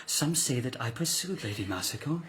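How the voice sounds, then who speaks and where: An actor in a radio drama playing a sly, unruffled retired emperor turned monk, suave and drily needling. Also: male voice, mid-range voice, animated, a little fast, noisy background, lightly acted. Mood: taunting.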